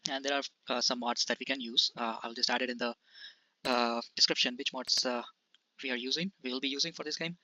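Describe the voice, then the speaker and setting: A man's voice: medium pitch, fairly even intonation, fairly quick, sharp tone, no room sound, low-quality recording. A man from India hosting his gaming channel, talking through a farming game casually with many hesitations and restarts. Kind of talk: casual gameplay commentary.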